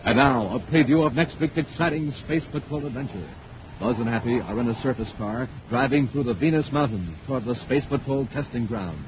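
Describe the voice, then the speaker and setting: An American man as an emphatic, showman-like 1950s radio announcer, reading scripted narration and sponsor pitches. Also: fairly quick. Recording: muffled, noisy 1950s radio recording.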